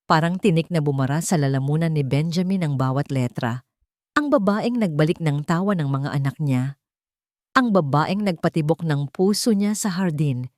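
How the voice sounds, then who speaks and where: A woman narrating a romance drama story with emotive, suspenseful delivery and dramatic pauses. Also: low voice, a little mellow, dry recording, studio quality.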